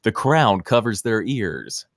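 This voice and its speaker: Animated man reading screenplay directions. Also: lowish voice, takes it slowly, dry recording, studio quality. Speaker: stage-direction reader. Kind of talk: scripted narration at a screenplay table read.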